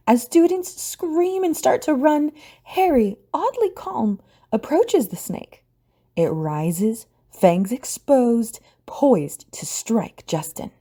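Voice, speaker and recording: Mellow, slightly husky woman narrator. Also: high voice. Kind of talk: scripted audio drama narration.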